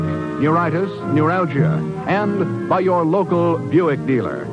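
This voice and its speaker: A man's voice: a little high, muffled recording. Male radio announcer, 1950s style, scripted and emphatic, band-limited old broadcast with music behind. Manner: polished, emphatic scripted delivery.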